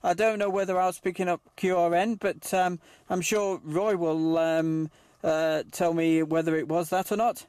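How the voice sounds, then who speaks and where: Guy controlling a cb radio net, higher voice, hesitant, really dry sound. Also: little pitch movement, normal speed, darkish tone, clear, resonant tone, no room sound. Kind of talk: amateur CB radio chat.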